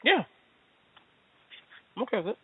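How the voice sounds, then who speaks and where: A man's voice: moderate pace, no room sound. A man hosting a casual sports talk show, stammering and laid-back. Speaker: podcast host. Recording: phone-like lo-fi audio.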